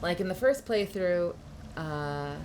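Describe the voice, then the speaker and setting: A woman's voice: lowish voice, expressive, slow pace, darkish tone, slight background noise. A woman streaming a game live, chatting casually with her audience while congested and nasal. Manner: chatty, scattered, talks to chat. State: stuffy, congested.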